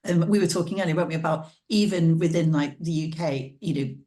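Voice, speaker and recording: A woman with a low voice, chatting on a panel over a video call. Her talk is loose and conversational. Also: quick pace, warm tone. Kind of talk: panel discussion.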